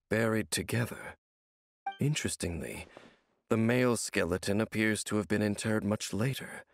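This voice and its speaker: A man playing a grave, secretive, world-weary wanderer in a video game, with a gravelly, husky voice and solemn, cryptic manner. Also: fairly low pitch, a little hiss or hum. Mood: investigative, intrigued.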